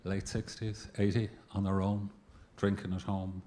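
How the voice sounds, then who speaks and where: A man's voice: sits low, level tone, a little slow, mellow. An older man in his sixties asking a reflective, hesitant question from the audience. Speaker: audience member. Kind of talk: question from the floor.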